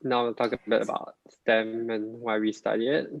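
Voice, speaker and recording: A man's voice: lowish voice, level tone, moderate pace, gravelly, dry recording. A young man from Singapore, a student panelist, introducing himself in short plain statements. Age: young adult. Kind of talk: webinar introduction. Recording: dull dry lo-fi audio.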